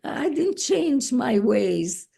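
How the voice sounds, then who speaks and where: A woman in her eighties with a Palestinian Arabic accent, slowly telling her life story as a guest on a video call. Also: high voice, sing-song, creaky, dark tone, breathy edge. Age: 80s. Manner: slow, halting, with frequent pauses and restarts. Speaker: guest speaker. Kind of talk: relaxed personal storytelling.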